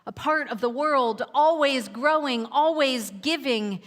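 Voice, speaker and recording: A woman voicing a gentle willow tree that speaks in a tender murmur. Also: sits high, a little slow, in a large hall.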